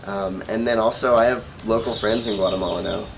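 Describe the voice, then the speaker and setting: Low-voiced young American man, noisy outdoor recording. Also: steady tone, brisk. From Oregon, United States. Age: young adult. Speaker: volunteer being interviewed. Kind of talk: casual interview answers. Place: outdoor rooftop terrace. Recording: clear outdoor background noise.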